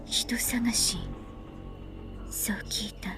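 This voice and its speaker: A woman's voice: fairly high pitch, music in the background. Whispery, withdrawn young woman. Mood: flat, hesitant. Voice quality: soft, breathy whisper. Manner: halting, terse, deadpan. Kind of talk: anime visual novel voice acting.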